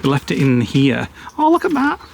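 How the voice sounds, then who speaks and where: A man's voice: mid-range voice, wildly swooping pitch, moderate pace, vocal fry, a little hiss or hum. A man, chatting in a friendly, casual way. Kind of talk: casual greeting chat.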